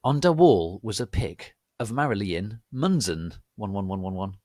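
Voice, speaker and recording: A man giving a theatrical, melodramatic dramatic reading of fan fiction as narrator, with a deadpan commitment to the text. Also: on the low side, moderate pace, no room sound, clean studio sound. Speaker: narrator, reader.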